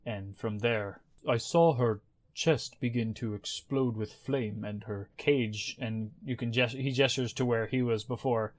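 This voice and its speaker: A man's voice: mid-range voice, steady tone, measured pace. A man narrating a tabletop game as game master, telling events earnestly with small fillers. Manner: soft-spoken, earnest storytelling with fillers.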